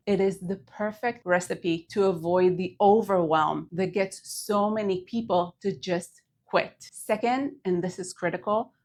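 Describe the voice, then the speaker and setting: A woman from Israel with an Israeli accent, a native Hebrew speaker, giving an earnest, emphatic scripted video lesson as a Hebrew teacher. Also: medium pitch.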